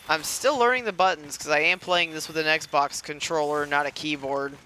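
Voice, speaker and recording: A man's voice: on the high side, pushed and bright, a little hiss or hum, poor audio. A man giving casual, rambling, self-deprecating commentary over his gaming playthrough. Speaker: gaming video creator.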